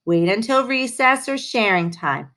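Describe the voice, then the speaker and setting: A woman voicing a cool, kindly but firm male mouse teacher in a storybook, speaking in a casual, measured way. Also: on the high side, expressive, pushed and bright, heavily acted. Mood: gently firm.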